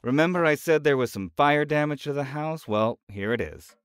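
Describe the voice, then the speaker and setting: A man's voice: a little high, expressive, normal speed, slight background noise, lightly acted. A man acting as a friendly, chatty, slightly evasive small-town realtor in an audio drama. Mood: hesitant, gossipy. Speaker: real estate agent showing a house. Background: faint music.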